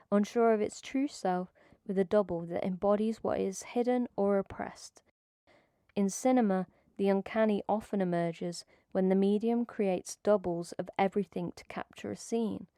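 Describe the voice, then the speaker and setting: Female essay narrator, soft dark tone, dry booth sound, steady scripted read. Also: medium pitch, smooth, dry recording. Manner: measured, even reading of a prepared script.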